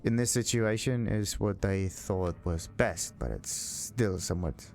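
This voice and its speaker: A man giving casual game commentary as a let's play host, thinking aloud in a halting, puzzled way. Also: on the low side, a little mellow, slight background noise. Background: game music.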